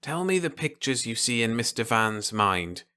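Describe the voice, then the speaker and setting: A man voicing a gentle, protective old grandfather in a storybook reading, reassuring and explaining things simply to a child. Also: medium pitch, sing-song, moderate pace, clean tone. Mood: coaxing. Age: older.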